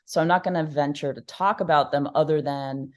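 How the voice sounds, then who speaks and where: A woman with a notably low voice talks through her ideas in a relaxed interview. She hesitates, restarts phrases and fills pauses with "um," breaking into light laughter along the way. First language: Polish. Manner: thoughtful, many fillers and restarts, laughs often. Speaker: podcast guest, researcher. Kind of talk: conversational interview.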